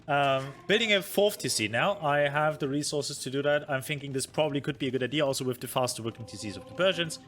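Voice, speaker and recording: A man's voice: medium pitch, fast, few pauses, fairly bright tone. A man streaming a review of his own strategy game match, thinking aloud with many fillers, being hard on himself and answering his chat. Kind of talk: casual game commentary.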